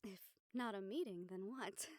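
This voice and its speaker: A woman playing an affectionate, scheming young noblewoman in an intimate audio roleplay, speaking formally and measuredly with thoughtful pauses. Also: medium pitch, no room sound, clean studio sound, lightly acted. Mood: wistful, pensive. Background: background music.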